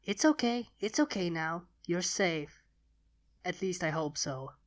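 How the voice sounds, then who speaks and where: A woman's voice: fairly low pitch, animated, unhurried. A woman voicing a caring, slightly anxious female unicorn pony in a soothing way for a story.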